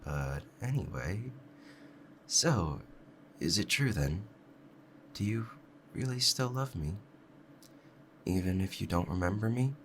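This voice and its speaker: A man playing a doting, obsessive kitsune husband in an intimate ASMR roleplay, speaking softly and clingily to the listener. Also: low-pitched, lively intonation, slow pace, long pauses, slight background noise, heavily acted. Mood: clingy, hesitant. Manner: soft, clingy, adoring, hesitant.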